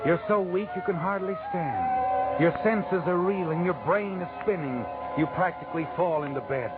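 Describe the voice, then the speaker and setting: A man's voice: high voice, animated, normal speed. An actor playing an all-knowing, sardonic narrator of an old-time radio suspense show, ominous and needling as he tells the tale. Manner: ominous, taunting second-person address. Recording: phone-like old radio recording with music.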